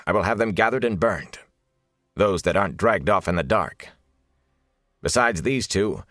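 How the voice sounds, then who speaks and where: A man voicing a gaunt, rigid soldier in an audiobook, with a hard, grating voice and a flat, clipped military delivery. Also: lowish voice, dry recording, studio quality, voice pushed up. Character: gaunt, rigid, hard-bitten soldier reporting to his captain.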